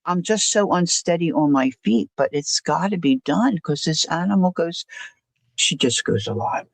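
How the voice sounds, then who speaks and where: Older woman host, low voice, rambling chatty storyteller, dry close mic. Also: expressive, normal speed, vocal fry, no echo. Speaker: livestream host. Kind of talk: casual chat.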